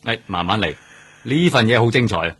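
Low-voiced, teasing police detective, Hong Kong Cantonese. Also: male voice, animated, brisk, a bit bright, husky, exaggerated voice. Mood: keen, explaining. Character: chatty, teasing, caring male police detective colleague. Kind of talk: radio drama acting. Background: background music.

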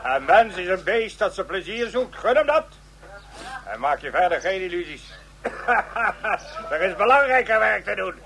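A man's voice: high voice, very animated, unhurried, fairly bright tone, full character voice. A man playing a boisterous, scornful old professor in a radio drama, mocking and growling with exuberance. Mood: scornful, exuberant.

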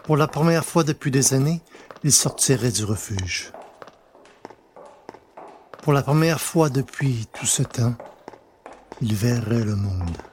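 A man narrating a literary story in French audio fiction, deliberately, pausing between short phrases. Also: medium pitch, lively intonation, a little mellow, slight background noise.

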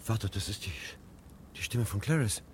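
A man's voice: lowish voice, expressive, moderate pace, slight background noise, natural voice. An actor playing a stunned former fireman among fugitives in a 1970s German radio play, hesitant and searching in his speech. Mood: bewildered.

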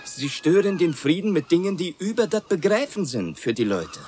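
An actor playing a stern, pompous village pastor who speaks in a formal, admonishing, measured way in a radio drama. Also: male voice, a little high, very animated, a little mellow, slight background noise. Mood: stern, reproving. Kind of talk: 1980s German radio drama acting.

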